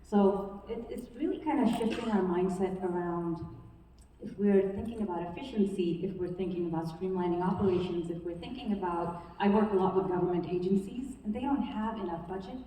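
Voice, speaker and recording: A woman on a panel discussion, speaking fluently and stacking her points in quick lists. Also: lowish voice, mellow, slight background noise. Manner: fluent, listing points in a run. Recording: echoey hall, distant mic.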